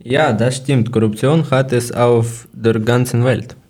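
A man's voice: mid-range voice, steady tone, measured pace, darkish tone. A man from Ukraine co-hosting a casual podcast in learner German, speaking plainly and matter-of-factly. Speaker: podcast co-host.

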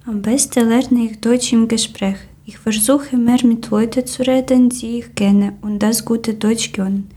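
A woman's voice: a little high, steady tone, unhurried, few pauses, mellow, husky. A woman from Ukraine, politely asking questions and answering them on a podcast. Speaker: interviewer. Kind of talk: podcast interview.

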